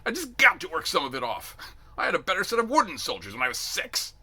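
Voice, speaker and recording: A man's voice: fairly high pitch, huge pitch swings, pushed and bright, slightly rough, pitched above the performer's own voice, exaggerated voice. Man putting on a bellowing, contemptuous drill sergeant. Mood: challenging. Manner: barking, drawn-out emphatic insults. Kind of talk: dramatic read-aloud of a military novel.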